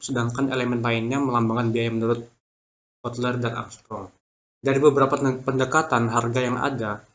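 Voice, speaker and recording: Young man reading a presentation haltingly. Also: medium pitch, fairly bright tone. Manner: stumbles over words and restarts them. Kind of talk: reading a prepared business plan presentation.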